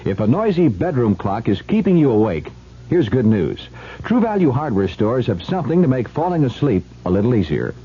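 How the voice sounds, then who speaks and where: A muffled old radio recording of a man announcing a commercial. His voice is dark and husky, with animated intonation through the scripted pitch. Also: brisk. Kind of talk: scripted advertising read.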